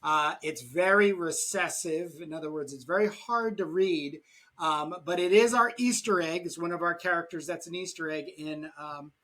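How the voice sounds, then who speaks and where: A man hosting a podcast about comics in casual talk, pausing mid-sentence as he goes. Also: fairly high pitch, fairly bright tone. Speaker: podcast host and comic artist.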